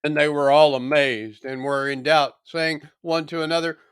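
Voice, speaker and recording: A man reading scripture solemnly from a script, with deliberate, dramatic oratorical phrasing. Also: fairly high pitch, steady tone, smooth, dry recording, studio quality. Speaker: scripture reader.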